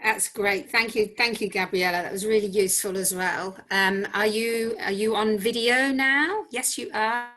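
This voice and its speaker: A woman's voice: medium pitch, fairly even intonation, normal speed, hardly any pauses, pushed and bright. A woman hosting an online meeting, thanking speakers and guiding them in a friendly, upbeat way. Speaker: meeting host. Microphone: video call.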